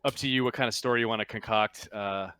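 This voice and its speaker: A man acts as game master for a tabletop roleplaying session. His voice sits fairly low, and he narrates casually, pausing often as he goes. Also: fairly even intonation, sharp tone, clear, resonant tone, no echo.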